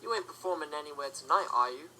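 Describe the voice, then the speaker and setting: A woman's voice: deep voice, quick pace, sharp tone, rough recording. A woman voicing a blunt but caring pony tavern keeper in an audio drama, firm and no-nonsense. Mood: stern.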